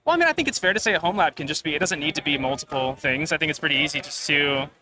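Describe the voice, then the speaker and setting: A young man answering interview questions in a relaxed way, often filling with "like". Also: fairly high pitch, racing. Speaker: guest creator. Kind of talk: casual live interview. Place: busy expo floor. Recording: live expo crowd behind.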